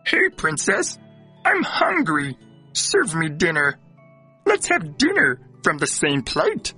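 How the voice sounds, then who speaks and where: Cartoon frog, small and pushy, bright raspy voice, hugely swinging pitch, exaggerated. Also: male voice, wildly swooping pitch, slow pace, soft background music, exaggerated voice. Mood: demanding. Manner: exaggerated, swooping delivery. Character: small talking male frog, pleading and pushy. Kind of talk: children's cartoon fairy tale acting.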